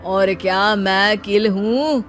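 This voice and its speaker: A woman's voice: medium pitch, takes it slowly, soft background music, pitched below the performer's own voice, lightly acted. A woman voicing a lazy, carefree young man who declaims comic rhymes in a cartoon story. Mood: showy, smug.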